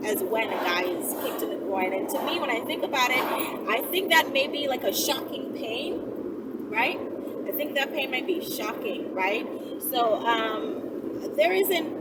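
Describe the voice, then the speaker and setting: A woman talking casually to her viewers as a video host, rambling conversationally and often checking in with tag questions. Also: fairly high pitch. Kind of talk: casual solo talk to viewers. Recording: distant mic, loud vehicle-like background noise.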